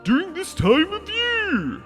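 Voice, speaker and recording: Naive, friendly storybook pine tree, female performer: high, drowsy, very sing-song delivery over music. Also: wildly swooping pitch, slow pace, a bit bright, exaggerated voice. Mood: sleepy, curious. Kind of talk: dramatic storybook reading.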